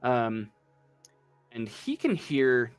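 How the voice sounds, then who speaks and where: Male game master, unhurried, halting improvised narration, music underneath. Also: mid-range voice, sing-song, smooth, no echo. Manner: halting, pausing mid-sentence.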